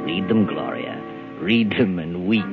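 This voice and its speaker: An actor playing a sinister, mocking narrator in a 1940s radio mystery, speaking with deliberate, taunting emphasis. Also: male voice, low-pitched, animated, narrow, tinny audio. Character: sinister omniscient male narrator, mocking, gloating over fates. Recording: old radio recording with loud music.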